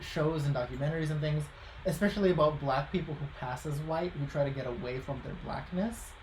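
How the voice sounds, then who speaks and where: A woman's voice: low voice, brisk, a little mellow. A young woman from the United States chatting casually, explaining things in a reflective way. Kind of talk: casual chat. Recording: roomy echo, faint background noise.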